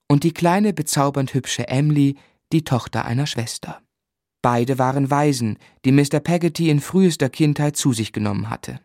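An actor narrating a radio play as a grown man gently and reflectively recalling his boyhood, in measured literary style. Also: mid-range voice, no echo, clean studio sound, lightly acted.

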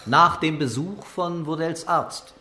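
An actor playing a calm, unhurried investigator in a radio drama, understated and wry in manner. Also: male voice, a little high, soft background music, full character voice.

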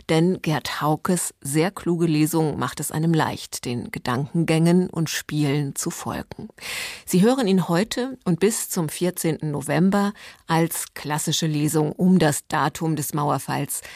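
A woman presents a scripted literary introduction as a radio host. Her voice sits very low and carries a clear creak. The sound is close and dry, with hardly any room around it. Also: a little slow, a little mellow, no echo.

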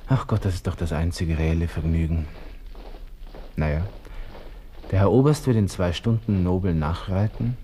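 An actor playing a melancholy, cynical young Austrian army lieutenant with a Viennese accent, murmuring wryly to himself in a radio play monologue. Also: male voice, low-pitched, moderate pace, pauses often, mellow, natural voice. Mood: wry, resigned. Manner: musing to himself, murmured, wry. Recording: hissy old radio play recording.

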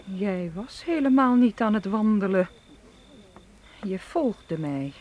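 A woman's voice: mid-range voice, animated, slow, lo-fi sound. An actress playing an anxious, impulsive young woman in a radio drama, speaking in an earnest, defensive way. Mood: startled, defensive. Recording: clear noise, outdoor night insect ambience. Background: chirping crickets.

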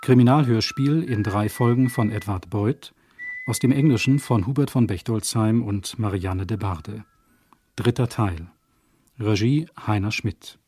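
A man's voice: lowish voice, unhurried, a little mellow, slight background noise. A man formally announcing the title and credits of a radio crime play, in a scripted style.

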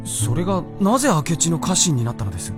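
Fox spirit in human guise: earnest, courteous, curious, very expressive intonation, radio drama with clear music bed. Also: male voice, fairly high pitch, fast. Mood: puzzled, curious. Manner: polite, earnest, questioning.

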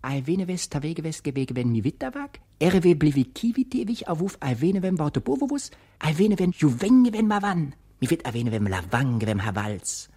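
A theatrical reciter of nonsense language for radio. The voice is husky and sits in a middle register, swinging widely up and down. Each babbled syllable is playfully over-articulated. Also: mid-range voice, very animated, normal speed, hardly any pauses, warm tone. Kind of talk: literary wordplay recital in a radio play.

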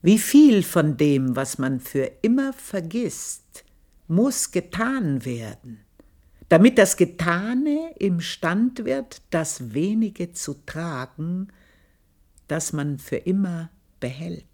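An actress playing an aging, shrewd maid in a radio play, confiding her memories in a hushed, whispery way. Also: fairly low pitch, sing-song, slow, heavily acted. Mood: brooding. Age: older. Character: aging female servant, shrewd and bitter. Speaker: maid recounting her past. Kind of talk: 1980s radio play monologue.

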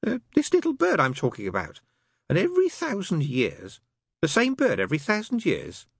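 A man's voice: a little high, huge pitch swings, gravelly, husky, no echo, clean studio sound, voice pushed up, heavily acted. Man doing a sly wheedling demon. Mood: persuasive. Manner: wheedling, insistent, drawn-out. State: tipsy. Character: sly persuasive male demon, tipsy.

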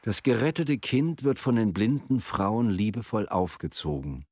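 A man's voice: fairly low pitch, big pitch swings, moderate pace, a little mellow, no room sound. A man reading scripted storytelling narration for a radio play. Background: music.

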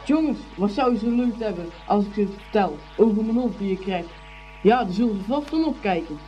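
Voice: medium pitch, animated, brisk, mellow, dull audio, faint music behind, close to an everyday voice. An actor playing an exuberant, good-hearted young schoolboy in a Dutch radio play, eager and boisterous.

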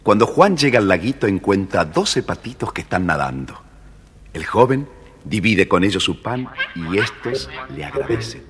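A man's voice: on the low side, very animated, normal speed, fairly bright tone. A man with an Argentine accent narrating a scripted children's storybook. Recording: hall reverb, faint background noise.

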